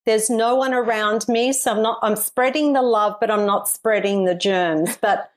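A woman's voice: on the high side, fairly even intonation, moderate pace. A woman hosting a singing podcast, chatty and a little dramatic, talking while ill with covid. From Australia. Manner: chatty, dramatic, laughs easily. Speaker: podcast host. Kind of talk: casual interview chat.

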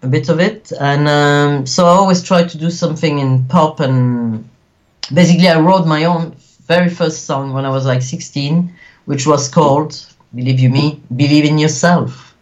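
A man's voice: fairly high pitch, moderate pace, muffled recording. A man from Belgium with a Belgian French accent, a guest actor speaking earnestly in a casual interview, with many fillers.

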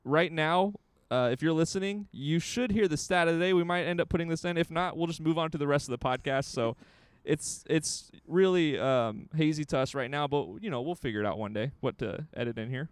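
A man's voice: fairly high pitch, brisk, few pauses, clean tone, no echo. A young man co-hosting a podcast in casual, playful, rambling chat, recorded outdoors in a courtyard. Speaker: podcast co-host.

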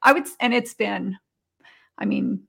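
A woman's voice: mid-range voice, measured pace, creaky, fairly bright tone, slightly rough. A middle-aged woman chatting casually as an interview guest, telling personal stories. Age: 40s or 50s.

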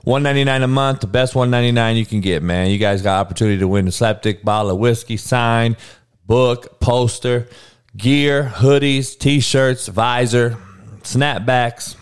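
A man's voice: mid-range voice, moderate pace, clear, resonant tone. Profane, rambling man in his forties. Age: 40s. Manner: profane, loose and rambling, talks to the chat. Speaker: podcast host. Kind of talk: casual livestream chat with viewers.

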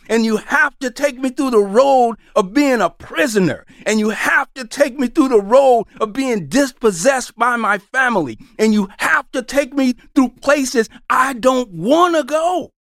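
A woman's voice: high voice, dry recording, studio quality. A woman preaching an impassioned sermon, emphatic and building through repetition.

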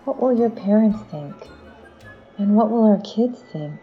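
A woman's voice: medium pitch, lively intonation, measured pace, narrow, tinny audio, soft background music, natural voice. A woman playing a caring but troubled middle-aged wife and mother in an audio drama. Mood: hurt, uneasy.

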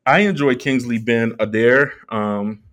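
A man co-hosting a casual film commentary, talking in a measured, halting way as he thinks aloud. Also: mid-range voice, a bit bright. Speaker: podcast co-host.

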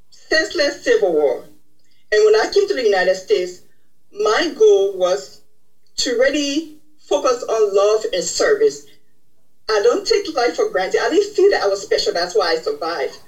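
A woman's voice: medium pitch, low-quality recording. A middle-aged woman from Liberia, a podcast guest earnestly telling her life story in a reflective way. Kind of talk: personal story in interview.

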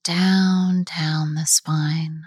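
A woman guiding a chakra body scan meditation, speaking softly and often half-whispering, with long pauses. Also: deep voice, little pitch movement, husky, no echo, studio quality. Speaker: meditation guide. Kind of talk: guided meditation.

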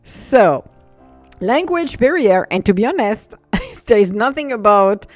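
A woman with a non-native English accent hosting a casual solo podcast, animated and laughing often, speeding up when excited. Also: on the high side, unhurried, dry recording, soft background music. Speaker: podcast host.

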